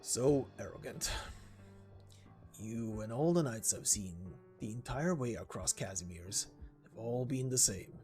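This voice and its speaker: A man's voice: medium pitch, animated, unhurried, a little mellow, natural voice. A man voicing a proud, bitterly defiant young male warrior in terse, contemptuous lines from a game, over music. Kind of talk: dramatic reading of game dialogue.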